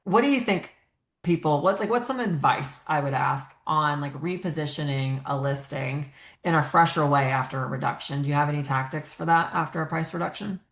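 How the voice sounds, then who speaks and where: A woman's voice: deep voice, brisk. A woman hosting a podcast interview, talking conversationally and thinking aloud with fillers. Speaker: podcast host. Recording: echoey room.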